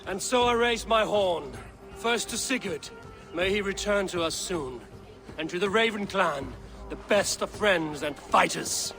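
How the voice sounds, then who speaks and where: A proud Viking leader from a video game, with a fairly high male voice over prominent music. The speech is measured and stately, made to rally a clan. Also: bright, forceful tone, full character voice. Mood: proud, ceremonial.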